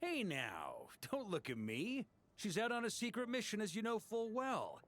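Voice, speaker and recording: Game role: breezy, teasing knight commander, bright and lively, light music behind. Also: male voice, sits high, moderate pace, exaggerated voice. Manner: breezy, joking, casual. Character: jovial, teasing grandmaster of knights, adult man. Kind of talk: video game voice acting.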